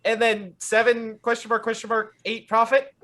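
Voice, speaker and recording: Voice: mid-range voice, monotone, moderate pace. A tabletop game player chatting casually, laying out plans with wry humor. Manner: wry, planning out loud.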